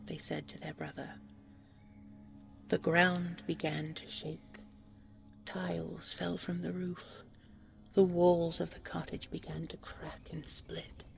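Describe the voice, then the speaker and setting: Woman, bedtime storyteller, low pitch, creaky, soft near-whispered soothing narration. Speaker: storyteller, audio roleplay performer. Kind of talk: scripted bedtime fairy tale narration.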